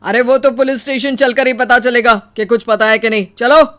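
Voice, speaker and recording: A woman voicing a brusque police officer in a cartoon story, brisk and authoritative. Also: on the high side, fairly even intonation, fairly bright tone, close to an everyday voice. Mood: stern.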